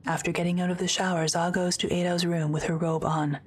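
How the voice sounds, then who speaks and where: A woman narrating a scripted audio drama in a matter-of-fact, measured way. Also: on the low side, flat delivery.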